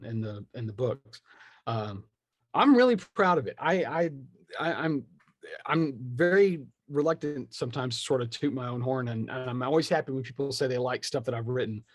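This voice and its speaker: A man's voice: medium pitch, no echo. A man being interviewed as a game writer, talking casually and acting out imagined remarks with wry, self-deprecating humour. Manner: animated storyteller, acts out imagined remarks. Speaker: guest, tabletop game writer.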